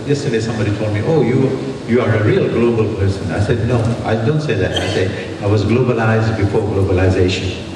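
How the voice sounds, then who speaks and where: Middle-aged male conference speaker from Tanzania, emphatic, mid-pitched, heard in a heavily reverberant hall. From Tanzania, of Indian origin. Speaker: university lecturer, conference speaker. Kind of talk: public conference speech. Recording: very echoey hall, distant mic, clear noise.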